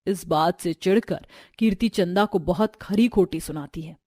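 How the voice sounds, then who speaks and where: A woman's voice: lowish voice, normal speed, mellow, dry recording, studio quality. A woman narrating a scripted family story as a storyteller.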